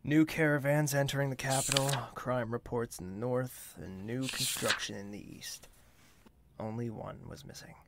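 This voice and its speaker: Proud, tender-hearted young prince played by a man, formal and earnest fantasy drama delivery, medium pitch with some fry. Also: fairly even intonation, normal speed, heavy background noise, natural voice. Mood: brooding. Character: lovestruck young male royal, formal, proud, easily wounded. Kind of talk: fantasy audio drama acting.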